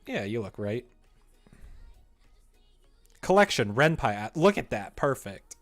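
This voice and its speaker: A man's voice: medium pitch, big pitch swings, slow, soft background music. A young man chatting casually on a livestream as he works, self-deprecating and muttering to himself, sometimes reading things out. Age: young adult. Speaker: live streamer, game developer. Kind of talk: casual livestream chat while working, reading comments aloud.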